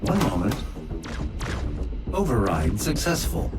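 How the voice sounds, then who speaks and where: Game AI guide: calm, formal, precise male voice with mobile intonation, music behind. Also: medium pitch, slow. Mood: calm, businesslike. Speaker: mission guide. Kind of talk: scripted video game mission guidance.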